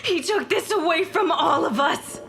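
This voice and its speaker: A woman's voice: extremely high pitch, moderate pace, gravelly, a bit bright, soft background music, full character voice. A woman playing the bitter, desperate ghost of a poisoned wife and mother in an audio drama, volatile and anguished. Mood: bitter, grieving. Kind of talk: audio fiction podcast acting.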